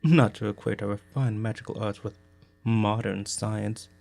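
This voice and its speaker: A man voices a refined gentleman wizard for a cartoon spoof. He is smug and formally polite, with a mellow tone and some creak. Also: lowish voice, steady tone, unhurried, faint music behind. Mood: smoothly reassuring. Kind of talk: comedic anime parody dub.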